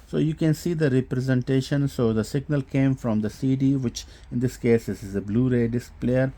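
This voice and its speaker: A man giving an unscripted technical product demonstration, explaining haltingly with frequent hesitations and repeats. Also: mid-range voice, fairly even intonation, dark tone. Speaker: host demonstrating audio equipment.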